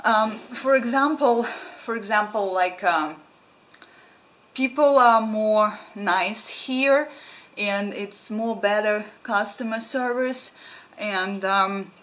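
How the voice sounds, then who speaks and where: A woman's voice: mid-range voice, slow pace, a bit bright. A young woman from Ukraine with a Russian accent, chatting casually to camera at home, hesitant with many ums and restarts. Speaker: vlogger. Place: small room at home, indoors.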